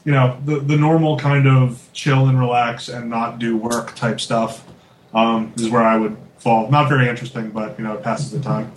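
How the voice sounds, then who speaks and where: Man, 30s, earnest candid guest, middling pitch, echoey room, faint noise. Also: steady tone, normal speed. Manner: candid, earnest, uses fillers. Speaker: studio artist guest. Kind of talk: casual panel chat. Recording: roomy with faint background noise.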